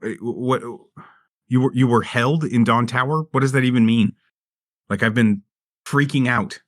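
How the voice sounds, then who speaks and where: A man's voice: mid-range voice, moderate pace, fairly bright tone, no echo, studio quality. A man playing a tabletop roleplaying game in a casual, earnest, improvised way with salty language.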